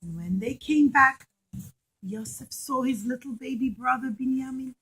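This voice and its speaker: A woman teacher telling a story to young children in an animated way, with simple, repetitive, emphatic phrasing. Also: high voice, moderate pace, breathy edge, low-quality recording.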